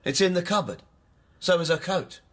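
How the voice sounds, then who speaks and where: A man's voice: sits high, moderate pace, a bit bright. A male actor playing a suspicious, menacing brother in a radio drama, blunt and interrogating. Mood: accusing. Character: suspicious, menacing brother hunting for his sister.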